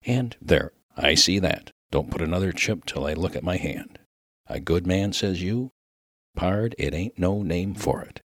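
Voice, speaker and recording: A man voicing a rough, good-natured Old West miner with a rustic American accent and a slangy, emphatic way of talking in a humorous story. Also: deep voice, expressive, unhurried, dry recording, studio quality. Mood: fervent, admiring. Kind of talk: dramatic reading of a humorous story.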